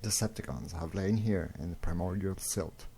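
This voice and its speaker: A man's voice: sits low, moderate pace, creaky, dark tone. A man reading a dramatic scripted story aloud as its narrator. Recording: noticeable background noise.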